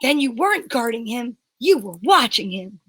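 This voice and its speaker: A woman playing a blunt, excitable police inspector in an amateur radio play, speaking in an exclamatory, incredulous manner. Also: fairly high pitch, moderate pace, husky, no echo. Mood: challenging. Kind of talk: amateur old-time radio play reading.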